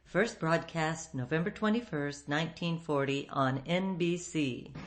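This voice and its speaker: A woman's voice: sits low, unhurried. A woman hosting, reading a scripted introduction to an archive radio broadcast. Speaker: radio show host.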